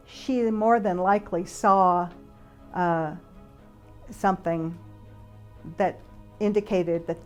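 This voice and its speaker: A woman giving an informal explanatory monologue in a conversational, speculative way, halting with pauses. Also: medium pitch, darkish tone. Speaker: speaker, flight attendant and author.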